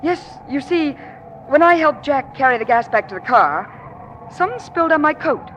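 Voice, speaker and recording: An actress playing a flustered, indignant young society daughter in a 1940s radio mystery. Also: female voice, high voice, animated, normal speed, fairly bright tone, lo-fi sound. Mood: flustered, defensive. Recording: noisy vintage 1940s radio recording.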